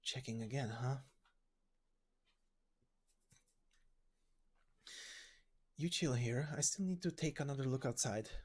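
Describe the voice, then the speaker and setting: A man's voice: medium pitch, fairly quick, close to an everyday voice. Man as a blunt, wary fighter, matter-of-fact. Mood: guarded, dry. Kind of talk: video game story voice acting.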